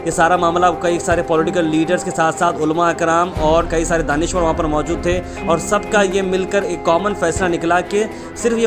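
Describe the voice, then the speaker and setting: A man presenting news in a scripted narration style. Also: high-pitched. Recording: music playing behind the voice. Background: music.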